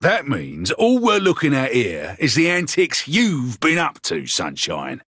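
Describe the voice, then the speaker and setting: Gruff game police inspector: husky, very expressive swings, dry sound over faint music. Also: male voice, mid-range voice, unhurried, no echo, heavily acted. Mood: baffled. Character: gruff, blunt, easily baffled police inspector. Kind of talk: animated mystery game voice acting.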